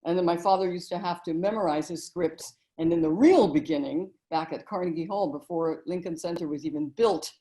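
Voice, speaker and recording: A middle-aged woman talking in a relaxed interview, telling stories and memories as the guest. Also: lowish voice, fairly quick, few pauses, low-quality recording. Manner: warm, storytelling reminiscence with frequent "you know". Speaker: guest, author and narrator.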